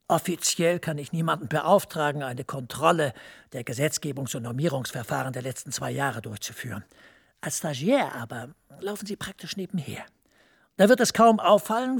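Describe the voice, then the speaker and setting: An actor playing a scheming professor in a radio drama, speaking in a hushed, confiding way that often drops to a whisper. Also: male voice, a little high, gravelly, breathy edge, heavily acted. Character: scheming male professor, smooth-talking superior.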